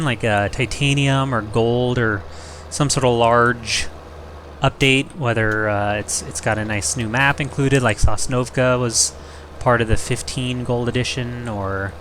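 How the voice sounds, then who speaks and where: Young male let's-play host, mid-pitched, slightly hoarse and rough, rambling self-mocking chat over faint game noise. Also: fairly bright tone. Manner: rambling, self-joking, thinks aloud. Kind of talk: casual gameplay commentary.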